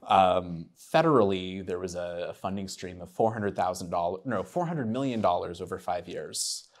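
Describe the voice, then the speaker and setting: A man's voice: low voice, normal speed. A man giving a talk, laying out budget numbers in a matter-of-fact way. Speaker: speaker on transport funding. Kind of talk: presentation talk.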